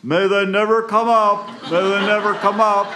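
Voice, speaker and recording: Man acting out a loud, high-voiced foolish lad. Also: flat delivery, moderate pace, a little hiss or hum. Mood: eager shouting. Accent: put-on Northern Irish. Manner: loud, eager calling out and pleading. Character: foolish, forgetful, eager, apologetic young Irish man.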